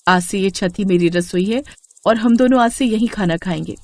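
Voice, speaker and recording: A woman voicing a gentle, innocent young village bride in a storybook tale, polite and earnest. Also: mid-range voice, moderate pace, slight background noise, natural voice. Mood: hurt, firm. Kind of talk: animated storybook acting.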